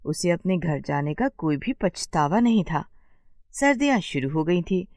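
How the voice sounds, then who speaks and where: A woman's voice: fairly low pitch, very animated, measured pace, a little mellow, no echo, clean studio sound. A woman giving scripted storytelling narration as the narrator of a tale.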